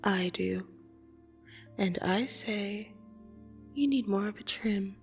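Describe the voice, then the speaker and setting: A woman playing a blunt, teasing yet soothing dream demon in an ASMR roleplay, speaking deliberately and intimately with gentle condescension. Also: mid-range voice. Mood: teasing menace. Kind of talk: ASMR roleplay audio drama. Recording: soft music behind the voice. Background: soft meditation music.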